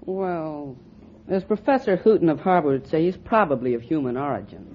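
An actor playing a haughty, smug young nephew who loves big words, in a 1940s radio comedy. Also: male voice, fairly high pitch, lively intonation, fairly quick, lightly acted. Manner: haughty, big-word vocabulary. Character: snooty precocious boy nephew, smug. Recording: dull old radio broadcast, noisy.

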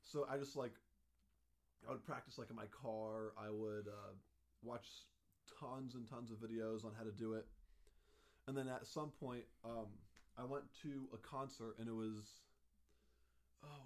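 A young man with a voice of middling pitch tells a long, meandering story in a casual chat. He leans on "like" constantly and picks up half-finished thoughts as he goes. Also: fairly even intonation, a little slow, pauses often. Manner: chatty storytelling, heavy use of "like".

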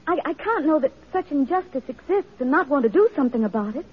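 A woman's voice: sits high, expressive, quick pace. An actress playing an earnest, idealistic, well-bred young woman in an old-time radio drama, speaking politely and carefully. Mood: pleading. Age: young adult. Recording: muffled old radio recording.

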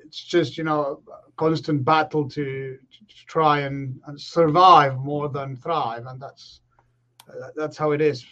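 Man, 30s, relaxed and reflective, frequent fillers, slightly high voice. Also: slow pace. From Argentina. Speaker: guest, professional rugby player. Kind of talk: remote podcast interview. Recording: slightly dull lo-fi call audio.

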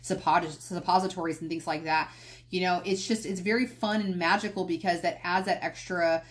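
A woman with a fairly low voice hosts a solo podcast, speaking in a candid, loose way. She rambles and goes off on small tangents. She often catches herself and restarts a phrase. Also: steady tone, normal speed. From United States. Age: adult, past college. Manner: candid, rambling, self-correcting. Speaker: podcast host. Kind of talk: casual solo podcast talk.

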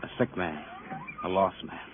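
An actor playing a talkative, wry and weary police sergeant in a 1950s radio crime drama, speaking in short colloquial sentences. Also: male voice, sits low, fairly even intonation, moderate pace, over music, lightly acted. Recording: noisy, narrow-band vintage radio recording.

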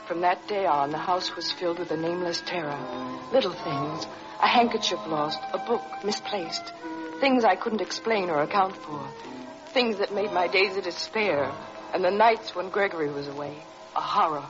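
An actress playing a frightened, self-doubting wife in 1940s radio drama, anxious, halting and pleading. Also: female voice, lowish voice, music in the background, close to an everyday voice. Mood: haunted, despairing. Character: frightened, doubting young wife being driven to despair. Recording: dull old radio broadcast recording.

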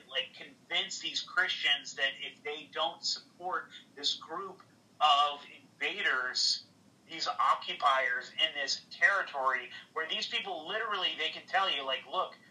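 A man's voice: on the high side, unhurried, pushed and bright, recorded from a distance, rough recording. A man in a casual livestream conversation, rambling and swearing, with lots of "like" fillers. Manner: rambling, heavy on "like" fillers, profane. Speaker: livestream guest.

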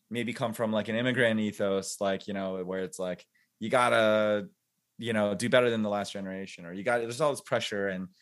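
A man in his forties, a podcast guest, talking casually and thinking aloud with lots of fillers and restarts. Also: lowish voice. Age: 40s. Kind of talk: casual interview chat.

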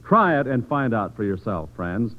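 Animated male vintage radio announcer. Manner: brisk sales pitch. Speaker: commercial announcer. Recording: muffled old radio broadcast.

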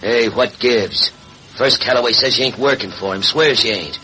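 A man's voice: medium pitch, moderate pace, pushed and bright, close to an everyday voice. An actor playing a cocky, suspicious hired gunman in a 1940s radio drama, with slangy tough-guy talk. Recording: noisy vintage radio recording.

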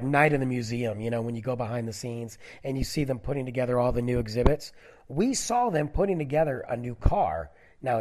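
A man in his forties, stuffy from a cold, chatting casually on his own and rambling through stories with lots of fillers. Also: mid-range voice. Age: 40s. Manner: rambling, digressive, many fillers. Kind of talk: casual solo chat.

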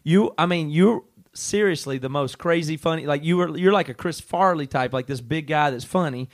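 A man with a somewhat higher voice than most men, co-hosting a live podcast with his bandmates. He teases a friend in an easygoing way and builds his point step by step, one short sentence after another. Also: normal speed. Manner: teasing, lists points in a building sequence. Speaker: band member and podcast co-host. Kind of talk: casual live podcast chat.

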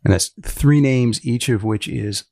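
Deadpan man with a creaky voice. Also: mid-range voice, dry recording. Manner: deadpan, deliberate. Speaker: podcast host. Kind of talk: dry, measured interviewing on a science humor podcast.

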